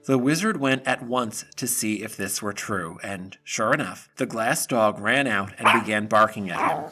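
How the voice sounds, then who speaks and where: A man's voice: on the low side, moderate pace, bright, forceful tone, faint music behind. A man narrating a fairy tale audio drama as a scripted storyteller.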